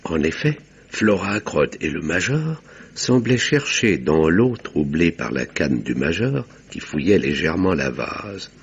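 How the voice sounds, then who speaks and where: A sober, literary narrator of a vintage radio mystery. The voice is extremely deep and heavily husky, delivered with measured care. The sound is dull and muffled, like old radio. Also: male voice, big pitch swings, full character voice. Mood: observant. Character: male doctor narrator, sober, observant storyteller of a mystery.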